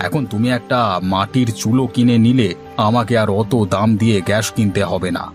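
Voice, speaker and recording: Man acting a deep-voiced, soothing cartoon village elder figure. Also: fairly even intonation, a little fast, lightly acted. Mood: coaxing. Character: placating village husband and kindly advising doctor. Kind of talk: Bengali fairy-tale cartoon voice acting. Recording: music under the voice.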